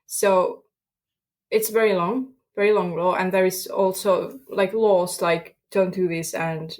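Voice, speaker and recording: A woman's voice: fairly low pitch, steady tone, slow, a little mellow. A young woman from Finland speaking English with a Finnish accent as a podcast guest, searching for words with many fillers. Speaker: guest student. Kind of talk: podcast interview.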